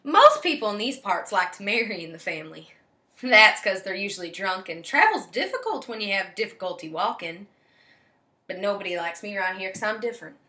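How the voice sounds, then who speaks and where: A woman's voice: medium pitch, big pitch swings, brisk, sharp tone. A woman playing an odd, earnest small-town backwoods young woman, confiding in a folksy, matter-of-fact comic stage monologue. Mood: wry, confiding.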